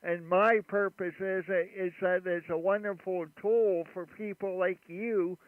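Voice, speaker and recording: A man running a ham radio chat and livestream, talking in a relaxed, rambling way with many fillers. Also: high voice, measured pace, no echo. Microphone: studio mic at his station. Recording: radio audio, narrow band.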